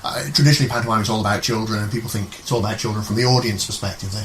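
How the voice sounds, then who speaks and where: A man's voice: lowish voice, steady tone, rapid-fire, fairly bright tone, a little hiss or hum. A man hosting a radio interview in a conversational way, often repeating and restarting his words as he frames his questions. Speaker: radio show host.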